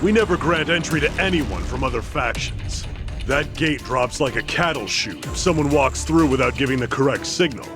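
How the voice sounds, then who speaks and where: A man's voice: mid-range voice, expressive, normal speed, over music, lightly acted. A man playing a cold, threatening yakuza clan enforcer in a dubbed video game cutscene. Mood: cold menace.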